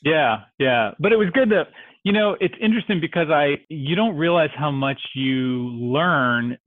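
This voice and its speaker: A man from Texas speaking as a real estate investor guest on a podcast, relaxed and joking. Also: fairly high pitch, normal speed, narrow, tinny audio. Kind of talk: podcast interview.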